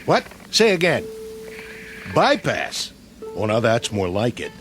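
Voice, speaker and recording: An actor playing a weary, wisecracking army sergeant in a radio drama, talking in gruff, sarcastic slang. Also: male voice, medium pitch, wildly swooping pitch, takes it slowly, a bit bright, faint music behind, exaggerated voice. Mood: angry sarcasm.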